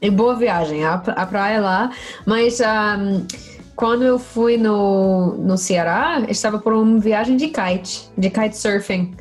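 A Canadian woman guest on a casual podcast, speaking Portuguese as a second language with a slow, halting manner. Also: medium pitch, faint music behind. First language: English.

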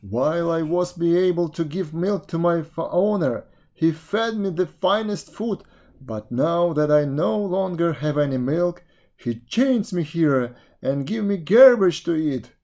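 A storyteller voicing a bitter, overworked buffalo who complains loudly and scornfully in an animal folktale. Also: medium pitch, animated, measured pace, pitched above the performer's own voice. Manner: loud, complaining.

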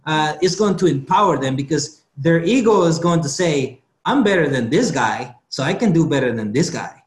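A man's voice: a little high, animated, normal speed, roomy sound. A man from Guatemala with a Spanish accent, talking as a podcast guest and telling his stories in a jokey, self-assured way. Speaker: guest speaker. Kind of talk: casual podcast conversation.

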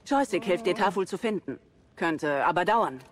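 A rebel fighter in a sci-fi audio drama. The voice is high, and the delivery is practical and matter-of-fact. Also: male voice, animated, normal speed. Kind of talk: German audio drama acting.

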